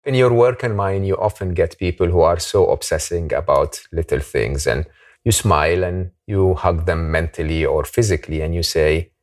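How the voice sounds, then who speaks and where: A man with a very deep voice hosts an interview in a relaxed, chatty way. He jumps in with eager, emphatic remarks and casual asides. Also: moderate pace. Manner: emphatic and enthusiastic, with informal asides. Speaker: podcast host.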